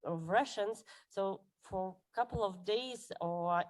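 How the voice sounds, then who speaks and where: Slow, hesitant woman moderating a Q&A. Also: medium pitch. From Lithuania. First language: Lithuanian. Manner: hesitant, searching for words, slight laughs. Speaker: event moderator. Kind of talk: hesitant questioning in an online Q&A.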